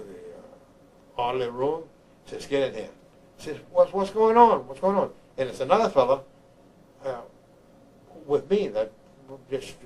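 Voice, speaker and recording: An older man from Honduras, an army veteran, recounts his memories haltingly, repeating words as he searches for the next one. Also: medium pitch, a little mellow, rough recording. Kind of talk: oral history recollection. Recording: clear background noise, room ambience.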